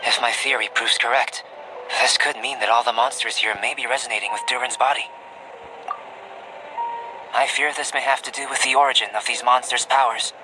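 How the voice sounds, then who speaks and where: An actor playing a calm young alchemist in a video game, speaking with polite, formal, detached precision. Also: male voice, mid-range voice, a little fast, noisy background, natural voice. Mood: composed, analytical. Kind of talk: video game cutscene dialogue. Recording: music behind the voice.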